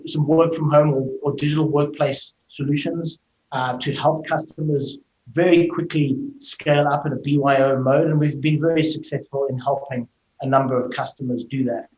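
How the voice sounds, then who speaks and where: A man's voice: a little high, fairly even intonation, moderate pace, a little mellow. A middle-aged man with a South African accent who gives fluent, explanatory interview answers over a video call and fills his speech with "you know". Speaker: tech company executive, guest. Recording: roomy echo.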